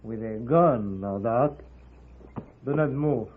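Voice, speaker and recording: An actor playing a cautious, commanding Mexican outlaw with a Spanish accent and measured, formal speech, in 1950s Western radio style. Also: male voice, medium pitch, natural voice. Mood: wary, controlled. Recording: muffled, narrow-band 1950s radio recording, noisy.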